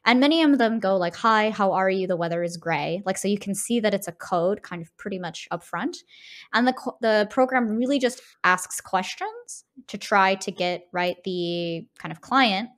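A woman podcast host with a medium-pitched voice talks casually through a discussion. She often breaks up her explanations with a questioning "right" as she goes. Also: lively intonation, normal speed. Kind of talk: casual discussion of a video game.

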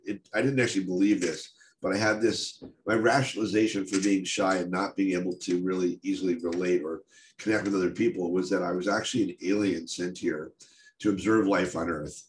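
An older American man with a head cold, talking in a halting, casual way on a video call from his home study, full of restarts and wry asides. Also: low-pitched, slight background noise, poor audio. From United States. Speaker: guest speaker. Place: home office or study, indoors. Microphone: video call webcam.

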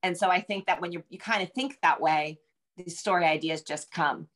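A woman's voice: fairly low pitch, normal speed, a bit bright. American woman thinking aloud in conversation. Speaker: guest novelist. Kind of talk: casual interview chat. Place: indoor room at home, video call.

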